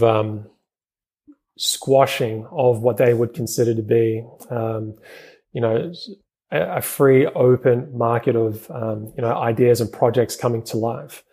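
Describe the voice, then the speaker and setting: Dark, husky-voiced New man. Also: mid-range voice. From New Zealand. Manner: rapid speech with many fillers and restarts. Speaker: guest, crypto investment executive. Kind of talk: interview answers.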